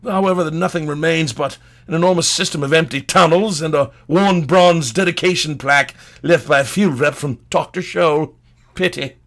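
A man playing a pompous, eccentric antiquities scholar, easily thrilled, with a halting, stammering, digressive delivery in a comedy lecture. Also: a little high, fairly bright tone. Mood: dramatic, astonished. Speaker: guest. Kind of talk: improvised-sounding comic lecture in character.

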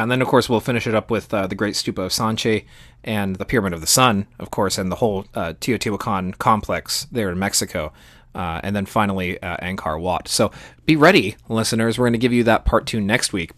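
A man's voice: fairly low pitch, brisk, fairly bright tone, no room sound. A man co-hosting a podcast, explaining history in an enthusiastic, conversational and emphatic way. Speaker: podcast co-host.

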